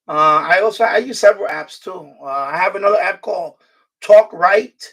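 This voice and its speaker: A man's voice: sits high, normal speed, fairly bright tone, recorded from a distance. A man hosting a casual live stream, chatting in a rambling way and repeating himself. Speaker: live stream host, radio hobbyist. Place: New York City.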